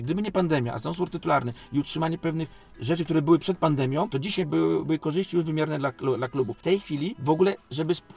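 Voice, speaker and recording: A man's voice: on the high side, gravelly, darkish tone, slightly rough. A man giving unscripted interview answers as a sports executive, emphatic and defensive, repeating phrases and posing rhetorical questions. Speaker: sports league company president and club coach.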